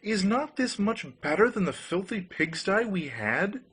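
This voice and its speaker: A man's voice: high voice, sing-song, unhurried, a bit bright, slightly rough, pitched above the performer's own voice, heavily acted. A man voicing a demanding, ambitious fisherman's wife in a storybook, insistent and imperious. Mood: pleased.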